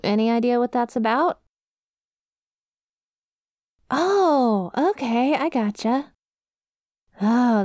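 A woman's voice: on the high side, darkish tone, no room sound, clean studio sound, lightly acted. A woman voicing a friendly, scatterbrained dog-girl student in an ASMR roleplay, with a casual, rambling, easily sidetracked manner. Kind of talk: ASMR roleplay, one-sided conversation with listener.

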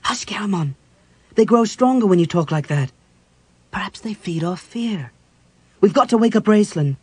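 A woman's voice: medium pitch, expressive, normal speed, husky, no room sound, voice pushed up. A performer voicing an earnest, devout young woman cleric, breathless and agitated, in a dramatic fantasy audiobook. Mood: fearful, urgent.

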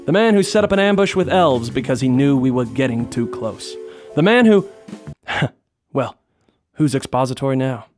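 A hard-boiled detective played by a male actor narrates a noir radio comedy. He is brooding and obsessive, delivering his lines in a mid-pitched dramatic deadpan with prominent music underneath. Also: moderate pace, close to an everyday voice. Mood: grim, brooding.

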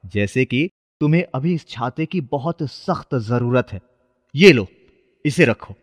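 A man's voice: mid-range voice, lively intonation, a little slow. Kindly old beggar played by a man, reverberant. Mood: benevolent. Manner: benevolent, fatherly. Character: carefree, kindly old mystical male beggar. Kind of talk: dramatized children's moral story.

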